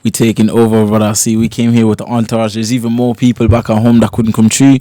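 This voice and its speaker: A man's voice: lowish voice, level tone, fast talker, dark tone, dry recording. A young man from Cork, a guest musician chatting casually in a small radio studio on a desk mic, laid-back and full of slang, often asking "do you get what I mean". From Cork, Ireland. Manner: laid-back, loose slang, keeps checking in with the listener. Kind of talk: casual interview chat. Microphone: studio microphone on a desk stand.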